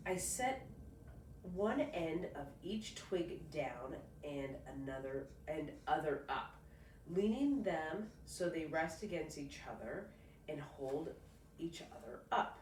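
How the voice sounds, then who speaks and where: A woman's voice: sits low, slow. A woman reads a children's picture book aloud expressively, stopping now and then for casual comments of her own. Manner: expressive, stops to comment informally. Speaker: storybook reader. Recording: roomy, distant mic, faint background noise.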